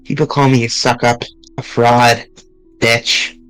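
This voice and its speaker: A man streaming, reading visual novel dialogue aloud in an earnest, halting way with small restarts. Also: medium pitch, level tone, fairly bright tone, clean tone, no room sound, faint music behind, rough recording.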